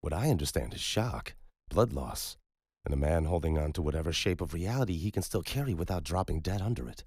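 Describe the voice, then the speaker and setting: A man voicing a calm, skeptical male paramedic in an audiobook, speaking quietly and evenly. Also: low voice, a little fast, breathy edge, no room sound, clean studio sound.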